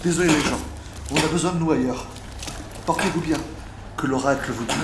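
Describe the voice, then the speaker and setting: A clipped, practical colony worker, voiced by a man. His voice sits slightly high and rings in an echoey space, with clear background noise around it. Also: brisk, lightly acted. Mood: curt.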